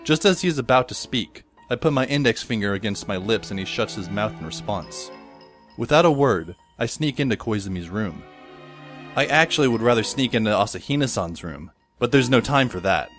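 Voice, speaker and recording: Wry, low-voiced teenage schoolboy narrator played by a man. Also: expressive, fairly quick, a bit bright. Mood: wry, measured. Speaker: narrator and lead character. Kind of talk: first-person scripted audio drama narration. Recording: music behind the voice. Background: instrumental music.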